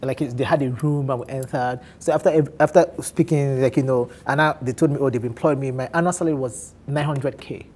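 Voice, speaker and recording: A Nigerian man with an Igbo accent tells his story at a quick clip, laughing often and restarting his sentences. He sounds like he is in his thirties, talking candidly among friends. A faint hiss sits under the recording. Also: medium pitch, steady tone, darkish tone. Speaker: guest on a men's talk show.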